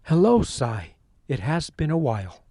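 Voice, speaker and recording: A man playing a measured, formal priest in an audio horror drama. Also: fairly high pitch, sing-song, mellow, dry recording, studio quality, full character voice. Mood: knowing.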